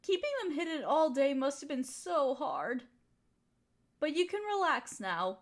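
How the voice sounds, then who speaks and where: A woman playing an affectionate, teasing, gently dominant partner who soothes and flirts in an intimate roleplay audio. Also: sits high, big pitch swings, measured pace, heavily acted. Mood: playful, teasing.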